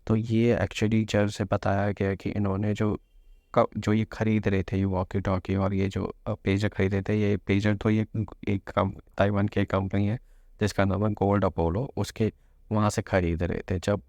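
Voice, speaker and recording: A man explains the news in an informal way. His voice sits low with a strong gravelly creak and stays fairly even in tone. He drops English terms into his commentary as he goes. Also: normal speed, dry recording. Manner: mixes Hindi with English terms.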